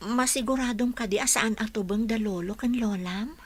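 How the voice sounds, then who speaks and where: High-voiced modest young village woman voiced by an actress. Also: moderate pace, darkish tone, no room sound, close to an everyday voice. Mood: tender, hesitant. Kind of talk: Ilocano radio drama acting.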